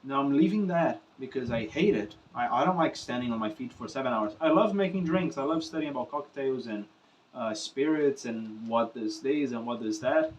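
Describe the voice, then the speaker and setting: A young man with a Brazilian accent talks freely to his camera, drifting between thoughts and leaning on filler phrases. His voice sits in an ordinary middle pitch for a man. The mic is set back from him and picks up a faint wash of room ambience. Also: expressive, moderate pace, distant mic, a little hiss or hum, poor audio. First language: Portuguese. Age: young adult. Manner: casual, rambling, with frequent filler phrases and swearing. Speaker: musician vlogger. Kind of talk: unscripted talk to camera.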